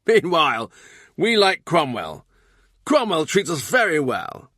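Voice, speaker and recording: A man's voice: on the high side, big pitch swings, measured pace, a bit bright, dry recording, clean studio sound, voice pushed up, exaggerated voice. A man voicing a commanding, middle-aged English king from a historical audiobook, with an imperious, emphatic delivery. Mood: pleased.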